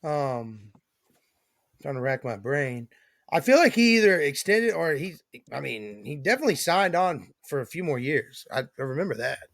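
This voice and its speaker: A man's voice: on the high side, sing-song, moderate pace, creaky, fairly bright tone, no room sound, clean studio sound. A man hosting a wrestling podcast in laid-back, slangy casual chat. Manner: laid-back, loose, slangy, lots of fillers. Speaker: podcast host.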